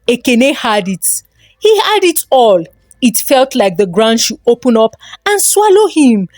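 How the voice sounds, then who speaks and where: A woman storyteller with a Nigerian accent, giving an expressive, dramatic narration of a scripted folktale. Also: on the high side, measured pace, dry recording.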